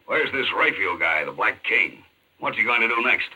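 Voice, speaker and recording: An actor playing a gruff, impatient police chief in a 1940s radio thriller, with blunt, terse delivery. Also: male voice, on the low side, expressive, moderate pace, sharp tone, exaggerated voice. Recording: very noisy old radio broadcast.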